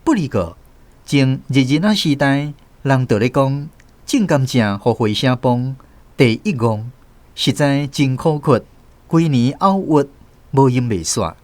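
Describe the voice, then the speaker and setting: Animated Taiwanese male storyteller. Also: medium pitch, unhurried, dry recording. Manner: expressive storytelling. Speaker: narrator and host. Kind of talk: scripted radio novel narration.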